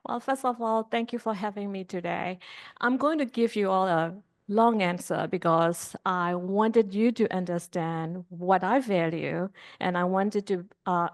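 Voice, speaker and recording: Woman from Burma, panelist, low-pitched, earnest storyteller. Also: measured pace. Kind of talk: panel discussion answer.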